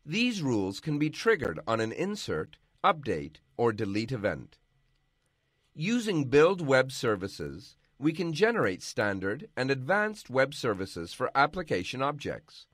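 Guy doing a software how-to voiceover, bouncy intonation, dry close mic. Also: medium pitch, wildly swooping pitch, no echo. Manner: measured, instructional. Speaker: software tutorial narrator. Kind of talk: scripted narration.

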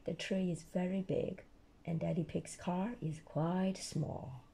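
A woman storyteller with a hoarse voice reading a children's picture book aloud and explaining it simply to a child. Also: fairly low pitch, fairly even intonation, slow pace, mellow. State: hoarse, losing her voice.

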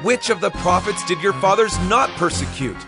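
An actor playing a fervent, defiant preacher and martyr in a dramatized scripture reading, declamatory and impassioned. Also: male voice, sits high, expressive, normal speed, over music. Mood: indignant accusing.

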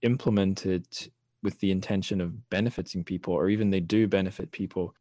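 A man's voice: sits low, fairly even intonation, brisk, mellow, no room sound. A man hosting a podcast, talking casually about literature and thinking aloud as he goes. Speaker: podcast host.

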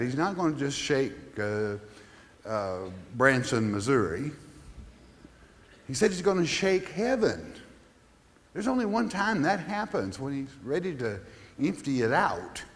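Male pastor, mid-pitched, chatty teaching delivery with scripture readings, long hall echo. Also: sing-song, measured pace. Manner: conversational, asks the listeners questions, reads scripture aloud. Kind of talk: Bible study teaching.

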